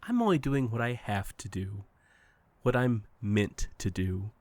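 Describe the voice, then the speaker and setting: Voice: mid-range voice, very animated, a little slow, background noise. An actor playing a smug, playful, unreal best friend in an audio drama, teasing and condescending in a sing-song way. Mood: amused, smug. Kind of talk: audio fiction podcast acting.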